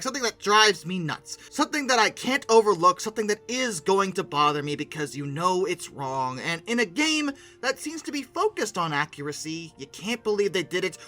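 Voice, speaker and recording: Sarcastic video reviewer, lively swooping pitch and a very bright tone, informal and theatrical, faint music underneath. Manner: theatrical, swings into mock-outraged rants; sarcastic asides. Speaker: video game reviewer and host. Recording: music bed behind the voice.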